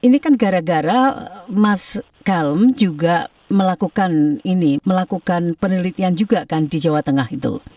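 A woman's voice: fairly low pitch, normal speed, warm tone, dry recording, far from the mic. A woman hosting a radio program, asking friendly, chatty questions. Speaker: radio host. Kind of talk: radio interview. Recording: dry voice, a bit off-mic.

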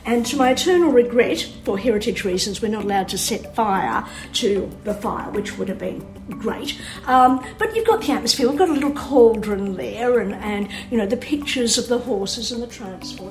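A woman's voice: fairly high pitch, lively intonation, normal speed, hardly any pauses, soft background music, rough recording. A woman speaking informally, like a guide explaining things in an interview. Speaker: society president and tour guide.

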